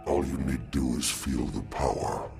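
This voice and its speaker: An actor playing a sinister, gravelly cartoon demon villain, a man luring a child. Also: a bass voice, a little slow, full character voice. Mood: menacing. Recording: loud music behind the voice.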